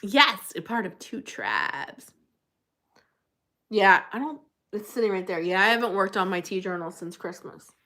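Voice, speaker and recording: Bright-voiced chatty woman from New Jersey. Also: big pitch swings, measured pace. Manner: chatty, rambling, reacts to chat with sudden high exclamations. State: runny nose, cold. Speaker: livestream host. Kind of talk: casual livestream chat reading comments. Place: apartment room at a desk.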